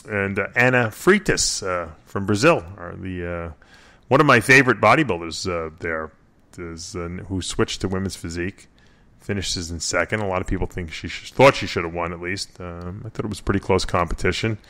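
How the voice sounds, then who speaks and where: A man's voice: low voice, normal speed, sharp tone. A man hosting a casual radio talk show, rambling and joking with plenty of fillers. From Florida. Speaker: host.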